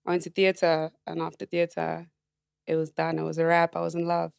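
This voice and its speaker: Deep-voiced young woman, laid-back. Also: completely flat delivery, a little fast, vocal fry, a little mellow, clean tone, no room sound, studio quality. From South Africa. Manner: laid-back, laughs often, slangy. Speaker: podcast guest singer. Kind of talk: casual chat.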